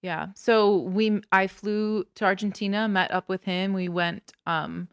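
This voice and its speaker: A woman guest on a podcast, telling a travel story in a casual, animated way with lots of filler words. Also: mid-range voice, a little slow, clean tone, no echo, studio quality. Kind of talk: casual chat.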